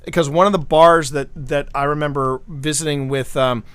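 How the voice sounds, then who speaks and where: A man's voice: fairly high pitch, fairly even intonation, moderate pace, a bit bright, clean tone, dry recording. A man from the United States hosting a livestream, rambling through stories and often repeating his words as he chats. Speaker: livestream host. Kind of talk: casual chat.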